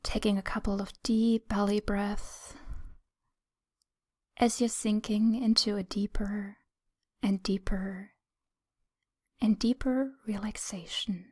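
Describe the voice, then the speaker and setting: A woman leading a guided meditation in a soothing, gentle, breathy whisper, speaking in short phrases with long pauses. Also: mid-range voice, fairly even intonation.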